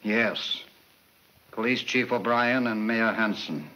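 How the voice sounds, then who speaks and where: An actor playing a calculating, vengeful professor of crime, measured and politely menacing, in 1940s film serial style on an old soundtrack. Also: male voice, on the low side, sharp tone, poor audio. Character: calculating male criminal mastermind professor, bent on revenge.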